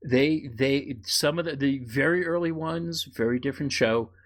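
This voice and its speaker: A man's voice: mid-range voice, normal speed. American man in his fifties, chatting casually. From New York City, United States. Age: 50s. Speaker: podcast co-host. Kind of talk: casual chat about old-time radio.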